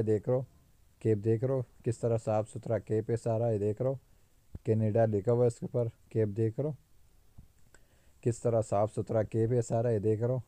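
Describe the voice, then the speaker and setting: Karachi urdu guy hawking caps in a warehouse, lowish flat voice, dry close sound, keeps saying the same things over. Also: no echo. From Pakistan. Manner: repetitive, insistent salesman patter. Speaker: market trader showing stock. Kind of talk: casual sales walkthrough. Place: warehouse among sacks of goods. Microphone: handheld phone camera, speaker off screen.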